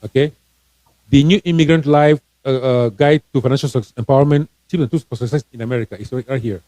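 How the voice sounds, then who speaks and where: A man's voice: mid-range voice, fairly even intonation, quick pace, dark tone, dry recording. A man from Cameroon, a financial coach and author, giving casual advice on a live stream, earnest and encouraging, often restarting his words.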